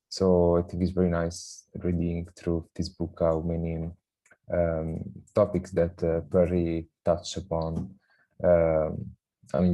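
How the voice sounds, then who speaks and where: A man from Italy introducing himself on a video call, measured and pausing on fillers. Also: low-pitched, dark tone. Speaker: seminar participant. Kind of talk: self-introduction in online seminar.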